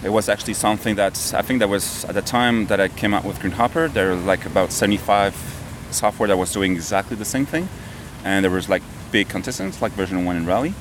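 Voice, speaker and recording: A man from Canada answering interview questions about his software work. He speaks haltingly, with some non-native phrasing, and is recorded outdoors. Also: lowish voice. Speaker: software developer guest. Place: outdoors near a river and traffic. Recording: outdoor recording with clear street noise.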